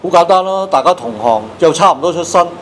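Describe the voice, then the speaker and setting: A man in his fifties hosts a casual studio interview in Hong Kong Cantonese. His voice sits a little higher than average. There is faint room ambience behind his conversational talk. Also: expressive, fast, fairly bright tone, a little hiss or hum. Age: 50s. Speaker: host. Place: small studio with padded acoustic panels.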